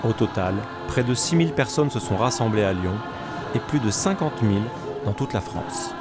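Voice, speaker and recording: Male reporter, low voice, scripted news narration, loud music underneath. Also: expressive, moderate pace.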